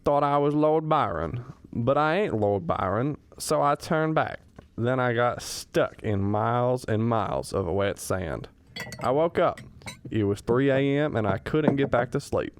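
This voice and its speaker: A man's voice: mid-range voice, animated, a little slow, a little hiss or hum. A man playing a cranky, rueful old professor in a comedic radio drama, grumbling in a plain, folksy, self-deprecating way. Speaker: student radio drama actor.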